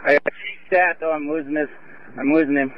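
A man reporting from the scene of an emergency over police radio, steady and plain. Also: on the high side, brisk. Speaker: officer on scene. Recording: lo-fi radio with faint noise.

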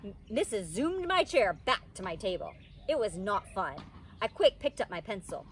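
A woman's voice: medium pitch, very animated, normal speed. A woman in her thirties, a teacher, reading a children's storybook aloud expressively outdoors. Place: outdoors in a wicker pod chair under trees. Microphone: camera a few feet away. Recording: outdoor ambient noise.

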